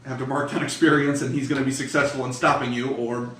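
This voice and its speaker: A man's voice: mid-range voice, level tone, fast talker, fairly bright tone. A man narrating as game master of a tabletop horror game, casual, improvised and freely swearing. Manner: profane, colloquial. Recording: roomy, distant mic, faint noise.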